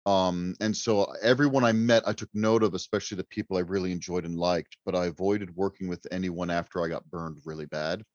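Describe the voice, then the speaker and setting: A man's voice: low voice, steady tone, brisk, few pauses. A man chatting casually as a guest author on a podcast, wordy, self-deprecating and joking. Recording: roomy, slightly dull microphone sound.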